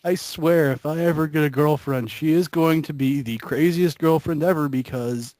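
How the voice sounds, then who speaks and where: A man rambling casually in a group chat, halting and self-deprecating as he piles one thought onto the next. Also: fairly high pitch, a little mellow, no room sound. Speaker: gaming group member.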